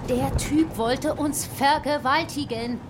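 A woman's voice: sits high, slow pace, noisy background. An actress playing a brash, foul-mouthed, tough young woman survivor in a German radio drama, with a sarcastic, combative way of talking. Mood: bitter.

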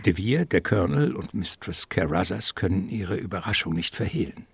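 Low, creaky male radio play narrator. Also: animated, normal speed, a crowd in the background.

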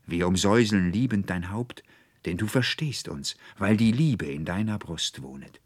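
A man voicing adoring, yearning spirits of flowers, fire, streams and birds in a storybook, breathy and beckoning. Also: deep voice, lively intonation, no echo. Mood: pleading, loving. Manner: rapturous, beckoning, drawn-out.

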